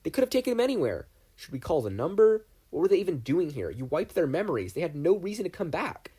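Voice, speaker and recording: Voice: mid-range voice, big pitch swings, dark tone, husky, voice pushed up. A reader voicing an anxious, guilt-ridden teenage boy. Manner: anxious, rapid questions.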